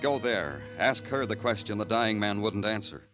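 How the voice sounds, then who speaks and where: An actor playing a world-weary New York homicide detective with a deliberate, hard-boiled, poetic delivery in 1950s radio noir style. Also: male voice, fairly low pitch, lively intonation, lightly acted. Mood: somber. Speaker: lead actor and narrator. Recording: vintage 1950s radio recording, music behind.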